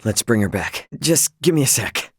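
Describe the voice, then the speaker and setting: A man's voice: medium pitch, sing-song, moderate pace, fairly bright tone, slight background noise. An actor voicing a brash, loyal young male spaceship captain in a video game, with an American accent and a casual, cocky, emphatic way of talking. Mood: easygoing, friendly.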